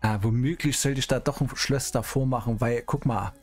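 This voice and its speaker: A man's voice: medium pitch, moderate pace, faint music behind. A middle-aged man streaming an online roleplay game, chatting casually in character. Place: indoor streaming room, music behind.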